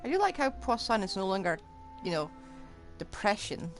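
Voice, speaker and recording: Wry woman streamer with a lively lilt. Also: medium pitch, normal speed. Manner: wry, sceptical asides. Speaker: game streamer. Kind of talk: casual live game commentary. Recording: game music behind the voice.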